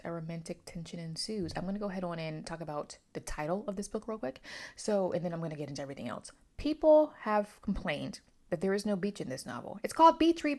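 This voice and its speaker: A woman's voice: on the low side, dark tone. A woman talking casually through a book review, opinionated and emphatic, often wandering into asides.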